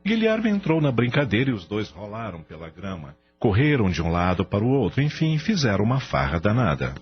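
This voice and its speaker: A man narrating a radio drama in a measured, expressive storytelling style. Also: fairly low pitch. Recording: dull lo-fi audio with music behind.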